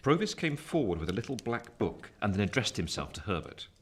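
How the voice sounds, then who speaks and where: An actor playing a gentleman narrating his own past, with a measured, literary delivery. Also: on the low side, very animated, slight background noise. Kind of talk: scripted first-person narration.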